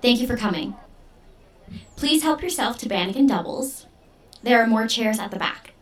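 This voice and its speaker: Distant, high-voiced girl reciting , acted by a woman. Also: expressive, normal speed, close to an everyday voice. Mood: formal, welcoming. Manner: formal, recited. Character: girl formally rehearsing a ceremonial welcome speech. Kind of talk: ceremonial speech in audio drama.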